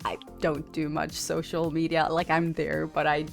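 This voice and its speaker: A woman's voice: deep voice, completely flat delivery, moderate pace, vocal fry, soft background music. A woman, a podcast co-host in a casual interview, who talks hesitantly with many fillers and frequent laughs.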